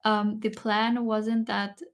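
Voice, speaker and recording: A woman from Germany with a German accent, speaking softly and hesitantly as a guest in a podcast interview. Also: mid-range voice, level tone, takes it slowly. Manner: hesitant, many fillers, thoughtful. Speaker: guest creator. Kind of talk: soft-spoken interview chat.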